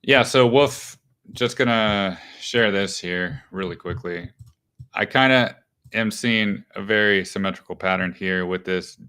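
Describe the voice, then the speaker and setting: A man hosts a live market show and walks through stock charts in a casual, conversational way. His voice sits a bit low and smooth. He thinks aloud, with fillers and small restarts between points. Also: unhurried, sharp tone.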